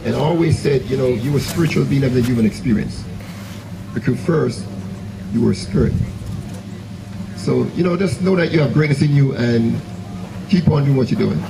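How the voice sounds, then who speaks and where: A young man from Jamaica speaks gently into a handheld mic, pausing often as he preaches about self-belief. His voice is gravelly and mid-pitched, with noticeable outdoor noise around it. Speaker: author. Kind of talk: motivational speech. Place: outdoor stage under a tent. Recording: noisy outdoor camera recording.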